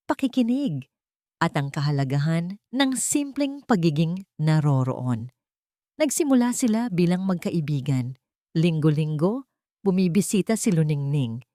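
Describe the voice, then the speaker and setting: A woman reading a dramatic love story as a narrator, in emotive, measured phrases. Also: on the low side, mellow, no room sound, clean studio sound.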